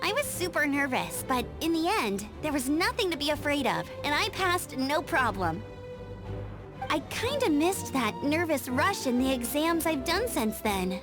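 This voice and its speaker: A woman's voice: high-pitched, very animated, measured pace. A woman voicing an upbeat, restless young scout in a video game, chirpy and chatty. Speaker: game character. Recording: game audio with music behind.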